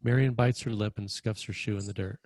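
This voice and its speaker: Male narrator, lower pitch, dark mellow tone, screenplay directions, plain descriptive reading. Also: fairly even intonation, fairly quick, dry recording.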